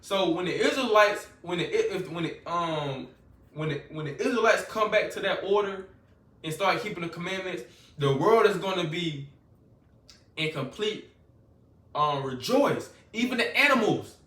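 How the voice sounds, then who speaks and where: Man, preacher, fervent, repetitive, bright edgy voice, distant mic. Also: high voice, animated, moderate pace. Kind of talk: scripture-reading sermon. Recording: echoey room, voice from a distance.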